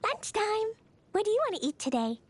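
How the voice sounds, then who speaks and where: A woman's voice: very high-pitched, big pitch swings, brisk. A woman voicing an eager, mischievous little girl knight with a childlike, cutesy manner in a video game. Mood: eager, playful. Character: hyperactive, mischievous little girl knight who loves bombs. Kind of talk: video game character voice lines.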